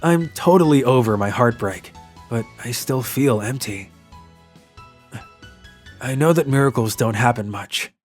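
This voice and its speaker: A man's voice: mid-range voice, measured pace, long pauses, darkish tone, lightly acted. An actor playing an earnest young office worker, narrating his own love story in a manga drama, with music behind. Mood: wistful, reflective.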